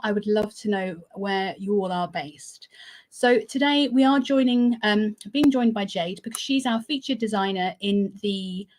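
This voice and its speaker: A woman's voice: a little high, a little mellow. A woman with a British accent hosting a live crochet stream, chatty and effusive, on a video call. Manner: chatty and effusive, with fillers and laughs. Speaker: host of a live crochet show. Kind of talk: casual live-stream chat.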